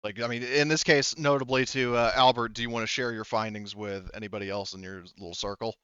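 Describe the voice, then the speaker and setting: A man narrating a tabletop roleplaying game casually as game master, in a halting, conversational way with repeated words. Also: mid-range voice, steady tone, a bit bright, clear, resonant tone, no echo, highs cut off.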